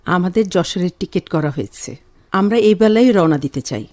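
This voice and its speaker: A woman's voice: fairly low pitch, expressive, brisk, dark tone, lightly acted. A woman acting a bustling, fussing, reassuring elder sister in a Bengali radio drama. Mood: kindly.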